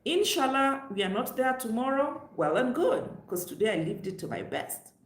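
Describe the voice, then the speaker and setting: A woman's voice: on the high side, very animated, moderate pace. Woman, Kenyan accent, emphatic vlog advice, talks straight to viewers, roomy echo. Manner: emphatic, preachy, addresses viewers directly. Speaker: travel vlogger. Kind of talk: direct-to-camera advice vlog. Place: room on the upper floor of her home, seated.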